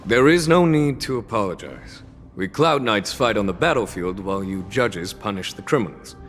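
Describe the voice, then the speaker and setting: An actor playing a composed, authoritative general in a game cutscene, speaking in a measured way. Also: male voice, on the low side, lively intonation, fairly bright tone, long reverb tail, faint music behind. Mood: calm. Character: calm, composed male general in his prime.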